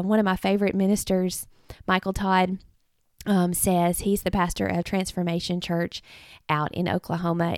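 A woman, hosting a devotional podcast in an earnest, rambling way, her voice a little rough from illness. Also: mid-range voice, darkish tone, dry recording, studio quality. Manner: earnest, rambling with many fillers and restarts. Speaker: podcast host. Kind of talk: casual devotional talk. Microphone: close podcast mic.

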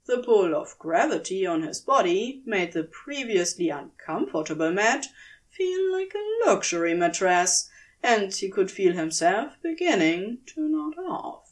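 A woman reading scripted fan fiction as narrator, in a measured, expressive storytelling manner. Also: medium pitch.

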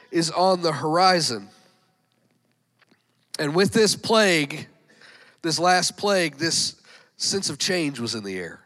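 Congested pastor preaching with fervor. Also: male voice, on the high side, a bit bright. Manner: fervent, frequent amens, folksy asides. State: congested, nasal from a cold. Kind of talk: sermon. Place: church sanctuary with congregation.

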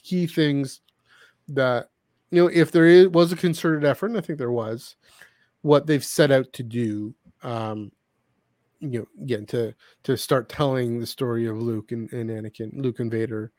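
A man hosting a podcast in casual conversation, talking in a halting, rambling way and often repeating his words. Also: medium pitch, darkish tone, no room sound, studio quality. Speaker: podcast host. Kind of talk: casual chat, discussing books and comics.

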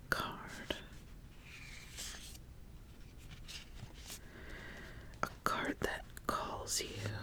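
The ambitious witch at a back-room card table in a fantasy ASMR roleplay, whispering very slowly and deliberately as she sizes up a newcomer, acted out by a woman. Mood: patient, guiding. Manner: slow, deliberate, hushed, with lingering pauses and repeated phrases. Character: ambitious witch, intrigued, sizing up a would-be apprentice. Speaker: ASMR host playing a roleplay character.

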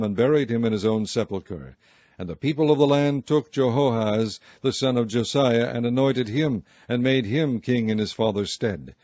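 A man reading scripture aloud in archaic wording, with a measured, solemn delivery. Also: mid-range voice, a little mellow, dry recording, dull audio. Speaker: Bible reader.

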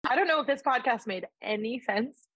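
A woman co-hosting a casual podcast, soft-spoken and a little hesitant, thinking out loud. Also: a little high, lively intonation, husky, no room sound, highs cut off. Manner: soft-spoken, hesitant, self-described slow-moving. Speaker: podcast co-host. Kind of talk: casual chat.

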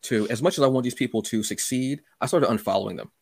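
A man hosting a casual interview, speaking candidly and a little haltingly, repeating words as he goes. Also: mid-range voice, vocal fry, darkish tone, no room sound. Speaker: podcast host.

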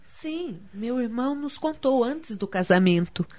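A woman playing a discreet sister-in-law who quietly confides family history in a radio soap opera. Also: a little high, expressive, measured pace. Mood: quiet, grave. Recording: dull lo-fi audio.

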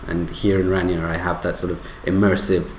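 Deep-voiced man, thoughtful guest. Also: flat delivery, a little fast, a little hiss or hum. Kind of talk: casual panel discussion. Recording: echoey room.

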